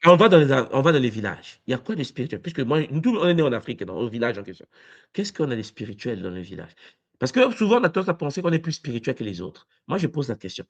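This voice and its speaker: Animated man pressing questions. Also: medium pitch, fast, muffled recording. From Congo. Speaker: university lecturer. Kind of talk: debate-style discussion.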